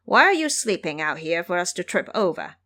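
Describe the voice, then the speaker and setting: A woman's voice: lowish voice, a little fast, full character voice. A woman voicing a gullible young male demon imp, an eager, naive servant, in an audio drama. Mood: indignant. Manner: excitable, earnest, naive. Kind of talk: audio drama character acting.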